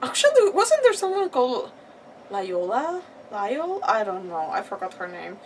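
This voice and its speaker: A woman's voice: on the high side, wildly swooping pitch, normal speed. A woman streaming a game with casual, rambling commentary, reacting and arguing back at the characters. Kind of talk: casual live gameplay commentary. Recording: slightly distant mic, faint background noise.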